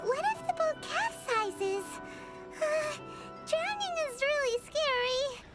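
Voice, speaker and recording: An actress voicing a small, excitable fairy companion in a game, squeaky and dramatic. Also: female voice, slow, music in the background, exaggerated voice. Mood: fretful. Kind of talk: game cutscene dialogue.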